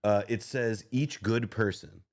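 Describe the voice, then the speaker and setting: A man's voice: fairly low pitch, unhurried, no echo. A man visiting as a guest in casual chat, with dry sarcastic humor. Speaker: podcast guest.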